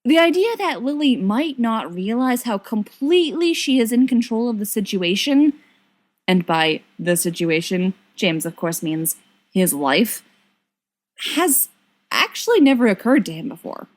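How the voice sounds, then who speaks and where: A woman reading fiction aloud as an audiobook narrator, in an expressive scripted style. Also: a little high, measured pace. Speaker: audiobook reader.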